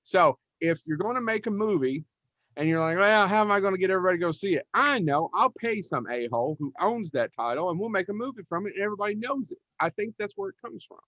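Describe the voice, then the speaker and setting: A man with a fairly high voice talks casually as a podcast co-host. He is emphatic and rambling in his delivery. The sound is very dry and a little dull. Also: sing-song, fast talker, a bit bright, no echo. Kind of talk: casual panel discussion.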